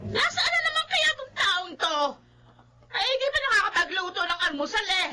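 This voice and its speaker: A mean Filipino aunt in a radio drama, nagging and exclaiming. Her voice is piercingly high, set against clear background noise. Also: very animated, moderate pace, pushed and bright, full character voice. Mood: exasperated.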